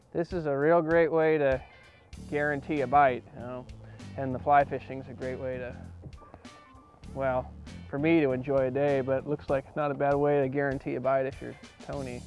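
A man's voice: mid-range voice, darkish tone. A man, a fishing guide, talking casually in an interview outdoors on a river boat, often repeating words as he thinks.

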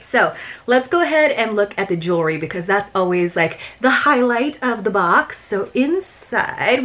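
The woman you would hear in a home-filmed unboxing video, middle-aged, chatting casually to the camera with a medium-pitched voice. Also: a bit bright. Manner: chatty, informal, with frequent "like". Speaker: subscription box reviewer. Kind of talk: casual product unboxing chat to viewers. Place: small furnished room at home, indoors.

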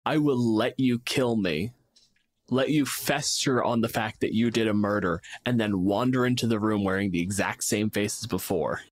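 A man's voice: lowish voice, moderate pace, dry recording. A man co-hosting a podcast in casual, slangy, sweary chat, often acting out imagined lines. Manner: profane, slangy, acts out imagined quotes. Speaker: podcast co-host. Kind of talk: casual, animated chat about fantasy game monsters.

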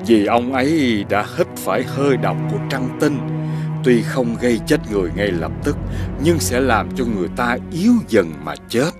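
A male actor playing a wise, kindly old household god with a measured, musing manner in an animated fairy tale. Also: mid-range voice, natural voice. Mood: thoughtful. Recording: music under the voice.

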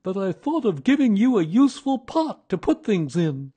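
A man voicing a cheerful, kindly, simple-hearted toy bear from a storybook, excitable and earnest. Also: fairly high pitch, slow pace, slightly rough, pitched above the performer's own voice, full character voice. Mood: happy. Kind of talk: storybook character reading.

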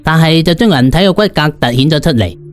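A man telling a dramatised story in the first person, in a conversational, expressive way. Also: a little high, fast talker, soft background music. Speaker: audiobook narrator.

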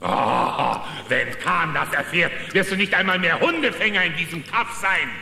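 An actor voicing a brash, quick-witted bear pilot who blusters and bluffs in a children's cartoon radio play. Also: male voice, fairly high pitch, expressive, vocal fry. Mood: indignant, blustering. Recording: echoey hall reverb, faint music.

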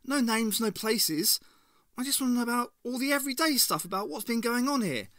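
A man reading aloud and voicing a quick, defiant young woman who talks fast and urgently. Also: sits high, sing-song, sharp tone, no echo, studio quality, voice pushed up, full character voice. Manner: urgent, persuasive.

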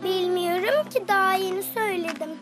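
A little cartoon girl, eager and impatient. Her voice is extremely high and childlike, with an earnest way of putting things. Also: a little fast, over loud music.

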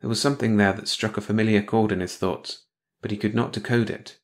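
Lowish-voiced man narrating a story. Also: fairly even intonation, a little fast. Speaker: audiobook narrator. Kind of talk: scripted story narration, bedtime read-along.

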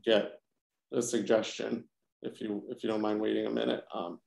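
A man's voice: lowish voice, flat delivery, normal speed, vocal fry, a little mellow. A man on staff at the meeting, explaining technical trouble in a hesitant, apologetic way with many ums, over a video call. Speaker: meeting staff running the stream. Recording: roomy echo.

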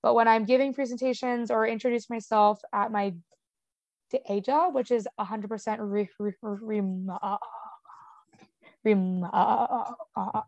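Young woman stuttering thru casual chat, kinda high voice, lots of um and like, dry room. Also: fairly even intonation, measured pace, gravelly, a little mellow, no room sound. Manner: stutters with repeated sounds and blocks, fillers like "um" and "like". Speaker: interview guest. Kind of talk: casual conversational interview.

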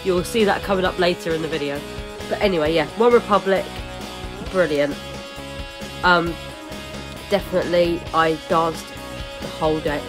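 Low-voiced young woman vlogger rambling. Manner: rambling, many fillers and restarts. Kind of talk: casual voice-over narration.

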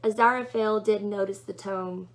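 A woman's voice: mid-range voice, fairly even intonation, normal speed. A young adult woman narrating a storybook as she reads it aloud in a small room at home. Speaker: book reader.

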